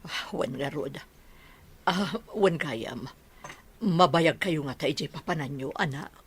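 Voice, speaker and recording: A woman's voice: low voice, slow pace, slightly rough, heavily acted. A woman playing a concerned mother of a grown son in a radio drama.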